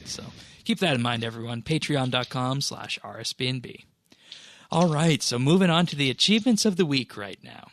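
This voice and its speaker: A man hosting a podcast, reading out announcements and updates in a measured, businesslike way. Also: medium pitch, animated, fairly bright tone, a little hiss or hum. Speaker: podcast host.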